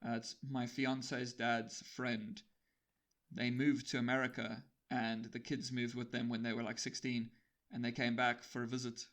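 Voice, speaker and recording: A man's voice: medium pitch, flat delivery, measured pace, a bit bright. A man from Africa, interviewing a guest in a casual, chatty conversation. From South Africa.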